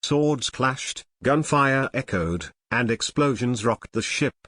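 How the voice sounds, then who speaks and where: A man's voice: mid-range voice, animated, takes it slowly, bright, forceful tone, dry recording. A man narrating an audio drama in a scripted, dramatic storytelling style.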